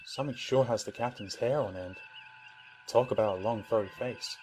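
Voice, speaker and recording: A man's voice: medium pitch, moderate pace, over music, lightly acted. A man playing a wry, easygoing starship lieutenant in an audio drama, understated in delivery.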